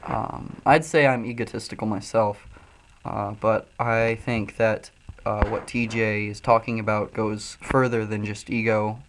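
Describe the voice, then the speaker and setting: A man from Illinois with a Midwestern accent, loosely and profanely talking through chat comments on a livestream while high. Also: level tone, measured pace, slight background noise. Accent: Midwestern American. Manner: loose, profane, frequent ums and uhs. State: high, coughing from smoking. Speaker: streamer reading chat.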